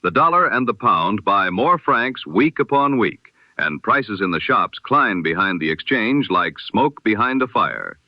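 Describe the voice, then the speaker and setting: A man presenting a scripted news bulletin in the style of 1920s radio, with a measured, formal, solemn delivery. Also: fairly low pitch, big pitch swings, no room sound, lo-fi sound.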